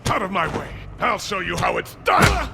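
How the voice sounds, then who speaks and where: An actor playing a haughty, menacing, hot-tempered aristocrat villain in a video game, imperious and contemptuous. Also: male voice, sits high, huge pitch swings, normal speed, vocal fry, raised voice, over music, full character voice. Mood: angry, impatient.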